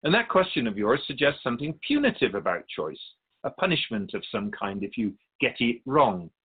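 Spirit guide for radio drama male actor: wise, formal, instructive, lively mid-range pitch. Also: moderate pace, husky, phone-quality sound. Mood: serene, instructive. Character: Keeper of Souls, serene wise otherworldly spirit guide.

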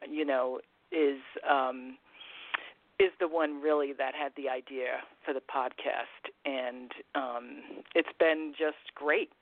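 A woman from Massachusetts chatting casually as a podcast guest, enthusiastic but halting as she finds her words. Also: low voice, dry recording. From Massachusetts, USA. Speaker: podcast guest and production helper. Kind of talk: casual interview chat. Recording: dry, thin phone line.

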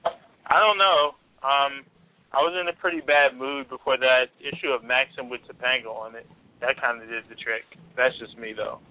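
A laid-back man hosts a call-in show, trading jokes in loose, slangy talk. His voice sits at an ordinary middle pitch. It comes through a thin, tinny phone line. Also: fairly even intonation, moderate pace, a little hiss or hum. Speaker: host.

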